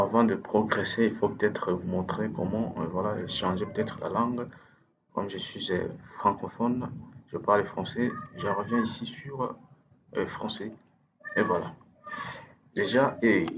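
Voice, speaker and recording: A man with French as his first language, giving a hesitant, step-by-step software tutorial over a screen recording, full of fillers and restarts. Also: fairly low pitch, highs cut off, noisy background. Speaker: instructor.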